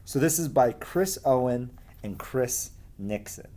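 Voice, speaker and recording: A man's voice: medium pitch, lively intonation, measured pace, dark tone. A man speaking as a teacher in a casual read-aloud lesson for children, informal and explanatory. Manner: informal, explains and asks listeners questions. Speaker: teacher reading aloud to pupils.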